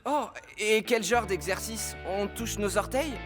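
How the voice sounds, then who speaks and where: An earnest, slightly dim firefighter in a French cartoon dub. The voice is high-pitched for a man and sounds guileless, over clear background music. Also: measured pace, a bit bright. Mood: naive, puzzled. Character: naive, dim but earnest male firefighter. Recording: music behind the voice.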